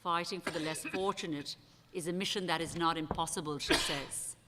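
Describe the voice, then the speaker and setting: A woman with a low voice introduces speakers at an academic panel, talking loosely and often restarting her sentences. She speaks into a table microphone on a big auditorium stage, and the sound carries a long hall reverb. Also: steady tone, brisk, very noisy. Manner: conversational, with frequent restarts and "uh"s. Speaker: session chair. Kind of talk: panel moderation at an academic conference.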